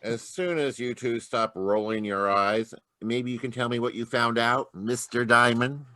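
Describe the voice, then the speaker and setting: An actor playing a gruff, impatient police lieutenant in an old-time radio detective play. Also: male voice, mid-range voice, fairly even intonation, unhurried, bright, forceful tone, no echo.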